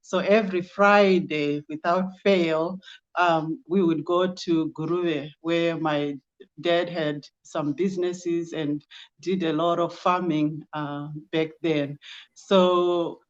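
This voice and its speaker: A middle-aged woman with a Zimbabwean accent, a guest answering interview questions in a measured way with many ums. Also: low-pitched, steady tone, muffled recording. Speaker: guest, project founder.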